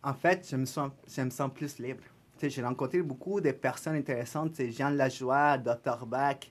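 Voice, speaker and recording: A young man with a Spanish accent, a guest on a podcast interview, talking in a soft, reflective, shy way. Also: medium pitch, normal speed. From Spanish-speaking country. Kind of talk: casual interview chat.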